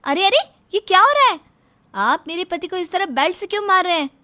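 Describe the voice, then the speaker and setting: A woman's voice: very high voice, expressive, fairly quick, sharp tone, full character voice. A woman voicing a protective, outspoken wife in a family melodrama, pleading yet argumentative. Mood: shocked, indignant. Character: protective, outspoken wife and daughter-in-law standing up to tyrant. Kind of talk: melodramatic audio story dialogue.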